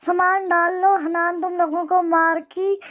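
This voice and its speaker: A woman with a sore throat reading a busy older sister who gives orders and scolds briskly. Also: extremely high pitch, level tone, a bit bright.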